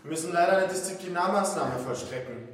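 A man's voice: a little high, lively intonation, fast talker, natural voice. An actor playing a tense, insistent male prison guard with an official manner in a naturalistic radio drama. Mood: official, controlled. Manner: insistent, procedural, escalating. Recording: distant, very echoey hall, faint noise.

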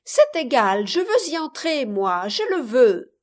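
A woman voicing a willful, bossy but warm-hearted eight-year-old girl in an audiobook, insistent and exclaiming. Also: high voice, sing-song, slow pace, full character voice. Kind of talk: audiobook character voice.

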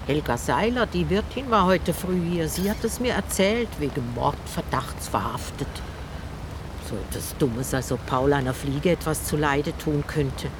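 A woman's voice: low-pitched, animated, unhurried, noisy background. An actress in a radio drama playing a talkative old river boatwoman with a wry, chatty, teasing manner. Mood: indignant. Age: older.

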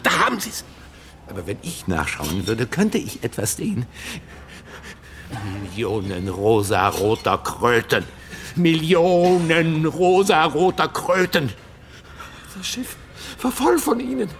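An actor in a German radio drama playing a delirious, paranoid seaman who veers between hushed secrecy and frantic cries. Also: fairly high pitch, very animated, takes it slowly, long pauses, slight background noise, exaggerated voice. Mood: feverish, obsessed. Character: delirious, paranoid seaman raving about monstrous pink toads.

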